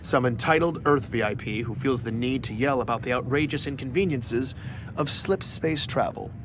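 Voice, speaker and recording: A man's voice: medium pitch, moderate pace, background noise, natural voice. An actor playing an investigative journalist, narrating his own story in an audio drama with a dry, confiding manner. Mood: wry, weary. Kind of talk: first-person scripted narration for audio drama.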